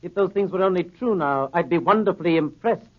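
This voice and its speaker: Suave, evasive gentleman schemer, muffled. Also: high voice, a little fast. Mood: falsely amiable. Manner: effusive, mannered, condescending politeness. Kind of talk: 1930s radio drama acting. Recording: muffled 1930s radio recording.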